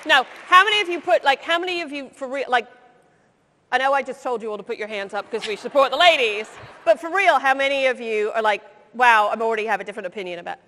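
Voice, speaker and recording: A woman leading a live coaching session on stage, lively, teasing and blunt. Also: high-pitched, fast talker, a little hiss or hum. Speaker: career coach, workshop leader. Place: conference stage with audience. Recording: long hall reverb.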